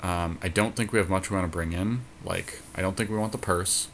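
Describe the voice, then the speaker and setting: A man's voice: low-pitched, fairly even intonation, a little fast, slight background noise. A man co-hosting a card game podcast, casually thinking aloud as he comments on each play.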